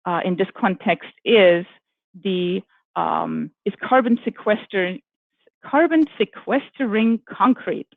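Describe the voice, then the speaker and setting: German-accented woman exec on a panel, measured, lots of ums, dry tinny call audio. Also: mid-range voice, dry recording. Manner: measured, with frequent ums and self-corrections. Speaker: architecture firm executive. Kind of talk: panel talk.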